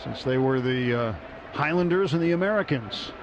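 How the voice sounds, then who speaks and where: A man calling a baseball game as the radio play-by-play announcer from a ballpark booth, with brisk, measured play calling. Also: medium pitch, lively intonation. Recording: muffled radio broadcast with crowd noise.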